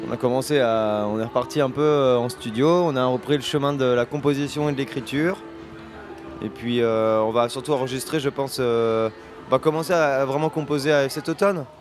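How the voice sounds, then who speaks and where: A man's voice: medium pitch, a little fast, faint music behind. A man in his thirties, a band's singer, answering interview questions hesitantly with many restarts, in a festival radio booth. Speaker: singer and lyricist of a band. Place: festival radio booth in front of a sponsor backdrop. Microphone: handheld radio mic held by the host.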